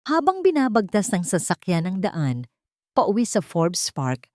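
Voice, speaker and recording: A woman's voice: mid-range voice, huge pitch swings, unhurried, a little mellow, no room sound, clean studio sound. A woman reading a scripted dramatic story as its narrator.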